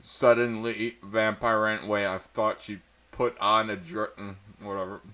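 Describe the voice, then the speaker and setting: Guy doing a slow jokey dramatic reading of fanfic, trips over words, cracks up easily. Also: fairly low pitch, little pitch movement, sharp tone. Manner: breaks into laughter and stumbles over words. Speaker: amateur reader. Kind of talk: mock-dramatic reading of fan fiction.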